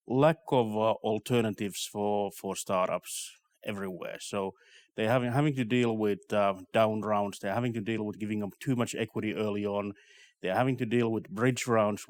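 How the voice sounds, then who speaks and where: Man, Finnish accent, lower pitch, measured tentative delivery, investor interviewee, dry sound. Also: darkish tone, dry recording. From Finland. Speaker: investment company representative, interviewee. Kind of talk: interview answers on business.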